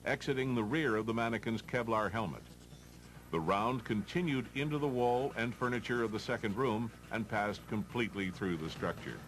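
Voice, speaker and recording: A man with an American accent reads formal, matter-of-fact instructional narration for a training film. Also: on the low side.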